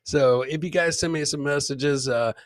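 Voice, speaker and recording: A man's voice: on the high side, normal speed, no echo, clean studio sound. A man hosting a live comedy podcast, chatting informally and rambling as he introduces clips and talks to viewers. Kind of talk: casual live-stream chat, riffing on clips and reading viewer comments.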